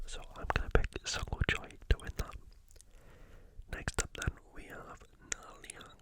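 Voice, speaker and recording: A British ASMR host previewing sports in a soft, breathy, unhurried whisper. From United Kingdom. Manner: soft, hushed, unhurried delivery. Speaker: video host.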